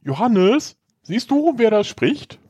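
A man's voice: sits high, big pitch swings, takes it slowly, a little mellow, breathy edge, dry recording, exaggerated voice. A man playing a timid, flustered church pastor in an audio drama, intimidated and hesitant. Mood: nervous pleading.

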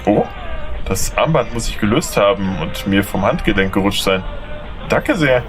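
A man's voice: on the low side, expressive, normal speed, close to an everyday voice. A man playing a distracted, politely dry taxi passenger in a German audio drama, muttering and hesitant. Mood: polite, sheepish. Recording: music behind the voice.

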